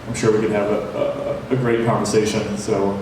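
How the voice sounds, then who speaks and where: A man's voice: fairly low pitch, level tone, moderate pace. A man moderating a panel, inviting questions from the audience in an easygoing way. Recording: echoey hall from far off, noise.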